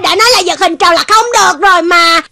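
A woman's voice: very high-pitched, fast talker, gravelly, heavy background noise. A woman voicing an anxious young robot cat, fretful and exclaiming but polite like a dutiful child, in a cartoon dub. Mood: flustered. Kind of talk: Vietnamese cartoon dub acting.

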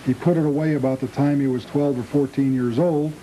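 A man's voice: medium pitch, steady tone, a little fast, mellow, a little hiss or hum, low-quality recording. A middle-aged American man giving conversational answers as an interview guest. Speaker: interview guest, appliance store proprietor and train collector.

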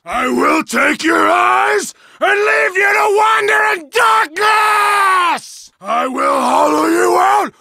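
Gravelly bloodthirsty berserker. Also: male voice, extremely high pitch, lively intonation, slow, very noisy, full character voice. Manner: bellowing, growling, gravelly battle shouts. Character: savage wild-eyed male berserker warrior, bloodthirsty. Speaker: game character voice. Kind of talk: video game combat voice lines. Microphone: close studio mic.

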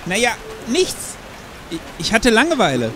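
Man playing a brazen detective, high-pitched and lively. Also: a little slow, exaggerated voice. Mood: sheepish, coaxing. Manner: casual, unapologetic, insistent. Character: brazen, bored, scheming male police detective, the partner. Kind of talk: German audio drama acting. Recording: rain and music behind.

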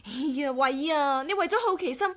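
A woman's voice: high voice, a little fast, fairly bright tone. An actress playing a strong-willed, outspoken woman in a Cantonese radio drama, frank and pointed in manner. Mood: exasperated, scolding.

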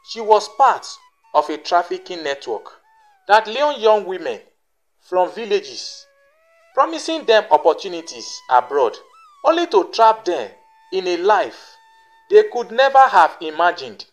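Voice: mid-range voice, big pitch swings, unhurried, faint music behind. A narrator reading a scripted folktale as a storyteller.

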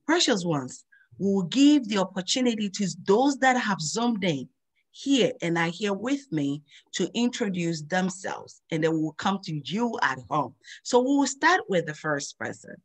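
A middle-aged woman, hosting a children's Bible lesson over a video call and slowly drilling memory verses in a sing-song teacher voice. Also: mid-range voice, big pitch swings. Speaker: host of a children's Bible program.

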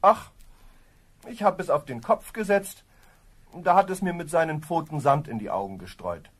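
An actor playing a lazy, simple-minded, cheerful young farm lad in a children's fairy-tale radio play, with a naive drawl. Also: male voice, on the high side, animated, moderate pace. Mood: sheepish.

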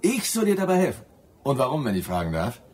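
A man's voice: medium pitch, wildly swooping pitch, quick pace, a little mellow, slightly rough. An actor playing a cautious, patriotic Brazilian boatman in a German radio drama, blunt and grumbling. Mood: wary, stubborn. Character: gruff, cautious, patriotic Brazilian boatman, grudgingly won over.

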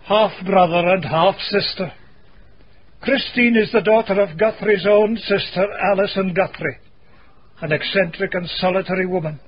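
An actor playing a grave, stern Scottish minister in a radio mystery, speaking solemnly and deliberately. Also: male voice, sits high, slightly rough. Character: grave elderly Scottish minister, self-deprecating and morally stern. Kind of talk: dramatic radio acting. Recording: dull, faintly noisy radio recording.

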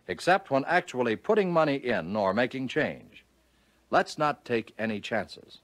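An American man narrates in the manner of a 1940s training film. His fairly low voice moves widely up and down as he instructs, leaning deliberately on key phrases, and the sound is dry and close. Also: dry recording.

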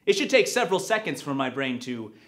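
A man's voice: medium pitch, very animated, fairly quick, a bit bright. A man playing a smug speed reader, pompous and formal in a comedy monologue. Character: pompous, self-assured extreme speed reader.

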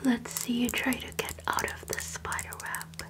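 A woman whispering playfully as an ASMR creator in a small decorated room indoors. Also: normal speed.

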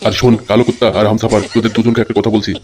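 Man playing a very fast-talking, bossy cartoon crime boss. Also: lowish voice, level tone, darkish tone, a little hiss or hum, close to an everyday voice. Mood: commanding. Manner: commanding, gruffly scolding. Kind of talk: comic cartoon dubbing.

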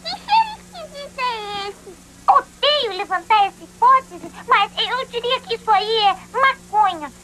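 A performer voicing a small, earnest, articulate chipmunk boy with a squeaky voice in a cartoon dub. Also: sing-song, unhurried, background noise, exaggerated voice. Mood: puzzled.

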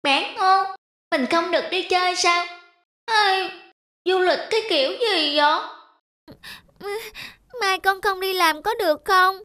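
A woman's voice: very high-pitched, takes it slowly, long pauses, pushed and bright, dry recording, clean studio sound, heavily acted. A woman voicing a plaintive, childlike little boy in a cartoon. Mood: whiny. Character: spoiled, whiny little boy softening into remorse. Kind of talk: cartoon voice acting.

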